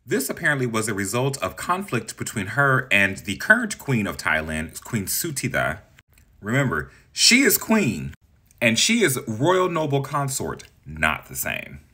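A man's voice: medium pitch, huge pitch swings, unhurried, pushed and bright, breathy edge. A man in his thirties casually explaining facts in a vlog, chatty and dramatic, with gossipy asides. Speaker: video creator. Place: green-screen setup, indoors.

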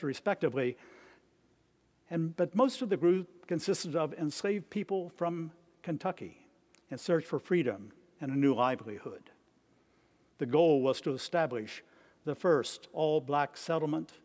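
Old guy priest in his 70s reading a sermon in church, slightly high voice, careful phrasing w pauses. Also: darkish tone. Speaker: priest, preacher. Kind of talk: sermon read from notes. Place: stone church, at a lectern.

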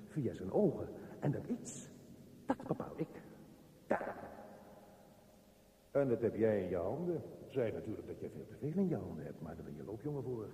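A self-important, grumpy office manager in a radio drama. He lectures pompously, his pitch swinging widely, heard from a distance in a reverberant room. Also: dark tone. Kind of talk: radio play monologue. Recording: roomy, reverberant, distant from the mic.

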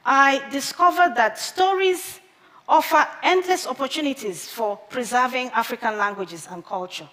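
A woman in her thirties, a native Igbo speaker, giving a prepared talk on stage at a measured pace with careful pauses, on a headset mic. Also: sits high, bright, forceful tone. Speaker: conference speaker. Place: large theater stage with audience. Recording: long hall reverb from a big theater.

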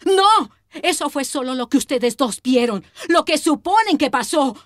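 A woman's voice: high-pitched, lively intonation, unhurried, dry recording, studio quality. An actress with a Colombian accent playing an emotional, defensive mother in a radio soap opera. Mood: indignant, distraught. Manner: emotional, pleading, indignant. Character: distraught, defensive mother of a young girl.

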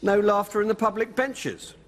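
A man's voice: sits high, steady tone, moderate pace, a bit bright. An actor playing a pompous, irascible witchfinder judge in a radio drama, declaiming in an officious, stern manner.

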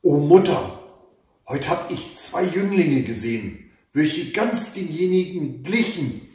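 Wistful, earnest king, read by a man, storybook tale, animated exclamations, reverberant room. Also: a little high, takes it slowly, distant mic. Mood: joyful wonder. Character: grieving king moved by wonder. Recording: very echoey room.

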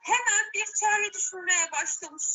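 Very high, bright woman telling children's stories. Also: lo-fi sound, faint music behind. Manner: animated, drawn-out repetitions, addressing young listeners. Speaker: teacher telling children a story. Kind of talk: slow expressive storytelling for children.

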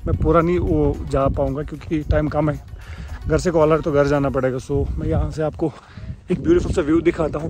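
A man, talking casually to viewers while driving and stopping on a mountain road. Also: on the high side. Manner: chatty, mixes in English phrases. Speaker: vlogger. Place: in a car and outdoors on a mountain road. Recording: noisy outdoor road recording.

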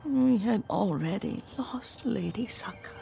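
An older woman acting a frail, confused, tender old servant in a game cutscene, with a breathy, halting, wistful delivery. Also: mid-range voice, animated, rough recording. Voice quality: breathy, whispery. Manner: halting, wistful, softly trailing off. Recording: music behind the voice.

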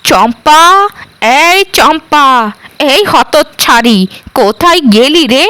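A woman's voice: sits high, animated, takes it slowly, a bit bright, no echo, full character voice. A woman voicing a cruel cartoon aunt who scolds and harangues with scornful sarcasm. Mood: sharp nagging. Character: cruel, scolding aunt bullying her orphaned niece. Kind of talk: Bengali cartoon dialogue.

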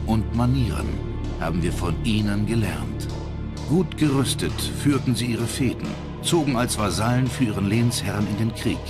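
A man reading scripted documentary narration as the narrator. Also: on the low side. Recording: voice over background music. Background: music.

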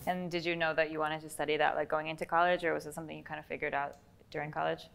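A woman interviewer asking calm, short questions from off-mic. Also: sits low, rapid-fire, creaky.

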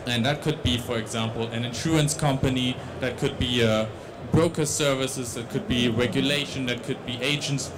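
A man's voice: medium pitch, fairly even intonation, moderate pace, few pauses, noisy background. A young man with a Dutch accent giving a tech conference talk on a large stage into a handheld mic, with many restarts and fillers. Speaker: conference speaker.

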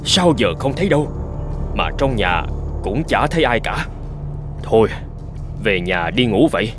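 An actor playing an anxious, kindly middle-aged homeowner in a cartoon ghost story, given to dramatic talking to himself. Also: male voice, on the low side, a little slow, over music. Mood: puzzled musing. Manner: expressive, dramatic, muttering to himself. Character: anxious, kindly older male homeowner, newly moved in.

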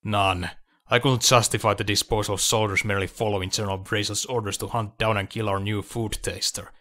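A man voicing a confident military adviser in an audiobook, reasoning in a measured, dry, persuasive way. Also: lowish voice, little pitch movement, sharp tone. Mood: dry, matter-of-fact. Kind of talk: audiobook character dialogue.

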